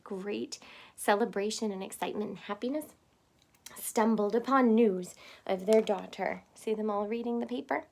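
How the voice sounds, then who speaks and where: A woman reading a children's storybook aloud expressively, in the manner of someone explaining it to young listeners. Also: on the high side. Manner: expressive, pausing to explain words simply. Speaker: storybook reader.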